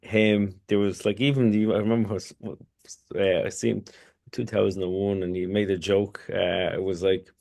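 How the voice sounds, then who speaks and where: Low-voiced man, rambling. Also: level tone, moderate pace, gravelly, darkish tone. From Kurdistan, Iraq. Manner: rambling, with many restarts and "you know". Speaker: podcast guest. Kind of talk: casual conversational interview.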